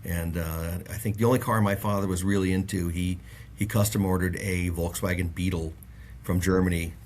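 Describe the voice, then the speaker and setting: Deep-voiced man rambling through a story. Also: fairly even intonation, normal speed, a little mellow, slight background noise. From Bahamas. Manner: rambling, many fillers and restarts. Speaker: auto industry guest. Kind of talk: casual interview chat.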